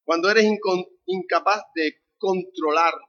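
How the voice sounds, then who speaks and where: A man's voice: high-pitched, unhurried, bright, forceful tone. A man from the Canary Islands with a Canarian Spanish accent, preaching a conversational sermon and putting questions to the congregation. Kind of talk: sermon with a family anecdote. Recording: dull lo-fi recording.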